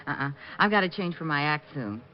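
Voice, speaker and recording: A woman's voice: sits low, animated, a little fast. A woman playing a friendly, earnest young carnival fortune teller in old-time radio style. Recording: dull old radio recording.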